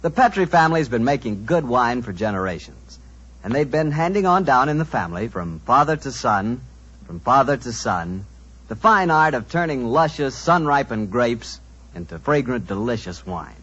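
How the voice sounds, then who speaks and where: An American man announcing and pitching for a sponsor in 1940s radio style, chummy and salesmanlike. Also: big pitch swings, normal speed, fairly bright tone, husky. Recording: muffled old-time radio recording.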